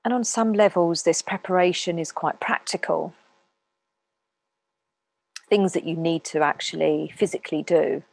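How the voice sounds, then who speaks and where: Female meditation guide, calm and unhurried, very slow delivery with long pauses, close dry sound. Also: on the low side, creaky, no echo. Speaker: meditation teacher.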